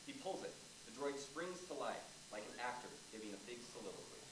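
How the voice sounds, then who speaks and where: A man's voice: medium pitch, very animated, fairly quick. A man narrating a staged play reading, reading out scripted stage directions. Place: large indoor theatre space, staged reading. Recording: distant echoey room, clearly noisy.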